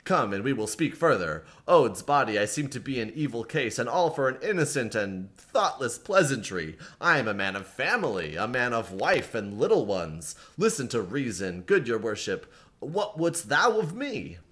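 A man's voice: mid-range voice, sing-song, normal speed, fairly bright tone. A man voicing a blustering, frightened town constable, pleading in archaic speech. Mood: flustered pleading.

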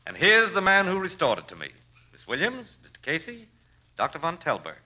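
A genial well-off dad in his fifties, old radio acting, very bright lively voice. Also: a little high, brisk, full character voice. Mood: hearty. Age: middle-aged. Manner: hearty, courteous. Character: genial, rejuvenated wealthy father, about fifty-five. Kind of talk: 1940s radio drama acting.

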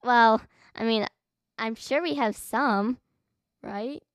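A female host speaks in a high register on a children's podcast. Her delivery is scripted, moving between chat and narration. The recording is dry. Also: takes it slowly, no room sound.

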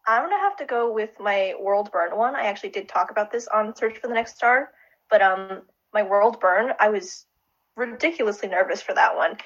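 A woman who is a finalist guest, chatting excitedly in a casual way. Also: mid-range voice, moderate pace, highs cut off. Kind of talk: casual chat.

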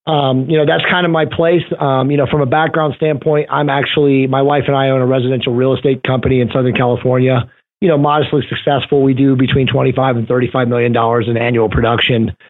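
A man's voice: mid-range voice, little pitch movement, quick pace. A man in his forties, a podcast guest talking casually and rambling with many fillers and restarts. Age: 40s. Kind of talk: casual interview talk. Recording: tinny phone line.

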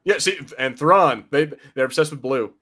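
A man's voice: fairly high pitch, moderate pace, a bit bright. A man hosting a pop-culture podcast, chatting informally and rambling, with casual swearing. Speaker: podcast host.

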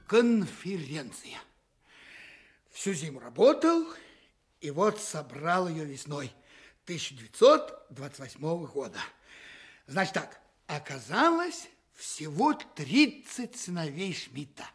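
Husky, high-pitched, naive petty swindler. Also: male voice, very animated, slow pace, exaggerated voice. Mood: earnest, aggrieved. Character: naive young male petty swindler, slow-witted and eager. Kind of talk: radio play dialogue. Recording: radio play with faint music.

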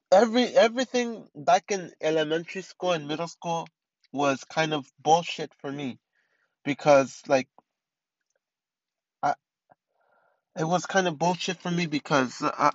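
A man's voice: a little high, no room sound, lo-fi sound. A man in his twenties hosting a casual solo podcast, rambling with many restarts and fillers. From East Los Angeles. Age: 20s. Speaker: podcast host.

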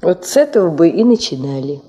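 A middle-aged office secretary in a radio drama. Her voice is soft and mellow, with lively rises and falls. She is wry and teasing, and draws her words out with easy familiarity. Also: lowish voice, a little fast. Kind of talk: radio play acting.

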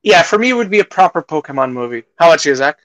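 A man's voice: on the high side, very animated, rapid-fire, fairly bright tone, dry recording. A man hosting a podcast in a casual, conversational chat style. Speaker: podcast host.

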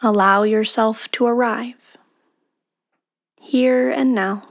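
Soft breathy lady doing guided meditation, super slow w long pauses, voice a bit high. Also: steady tone. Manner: hushed, breathy, soothing delivery with long pauses. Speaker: meditation guide, podcast host.

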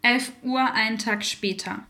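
A woman's voice: fairly high pitch, a little slow, sharp tone. A woman telling a scripted first-person account in a plain, frank way. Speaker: performer in an educational audio play.

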